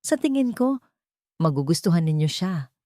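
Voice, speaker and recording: Soft young woman CEO, narrator's own voice, hushed gratitude, low voice, dry close sound. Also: very animated, normal speed, dry recording. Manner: soft, near whispering.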